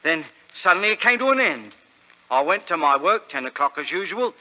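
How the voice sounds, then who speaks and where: An actor playing an earnest, thrifty English shopkeeper who talks haltingly and deferentially, in 1940s radio drama style. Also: male voice, fairly high pitch, sing-song, sharp tone, exaggerated voice. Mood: puzzled, aggrieved. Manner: halting, deferential, wordy storytelling. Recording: dull, reverberant vintage radio recording.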